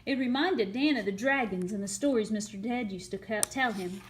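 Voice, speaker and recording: A woman reading a children's picture book aloud as an expressive, dramatic storyteller. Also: mid-range voice, moderate pace.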